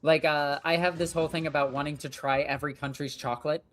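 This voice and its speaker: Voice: medium pitch, steady tone, quick pace, fairly bright tone. A young adult gaming streamer chatting casually and rambling while playing a strategy game, swearing now and then.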